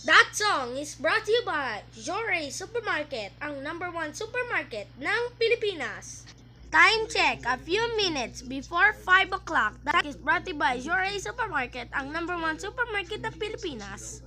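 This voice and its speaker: A woman's voice: very high-pitched, sing-song, normal speed, bright, forceful tone. A woman with a Filipino accent reading scripted station IDs and sponsor spots as a radio announcer. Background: music.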